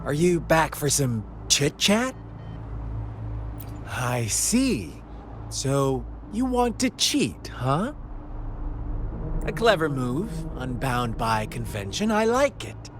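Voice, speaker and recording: An actor playing a sly, menacing villain in a video game, with a theatrical, teasing storyteller's delivery. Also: male voice, on the high side, background noise. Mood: playful, taunting. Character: theatrical, sly, menacing male villain who spins fables. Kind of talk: dramatic video game voice acting. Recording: music behind the voice.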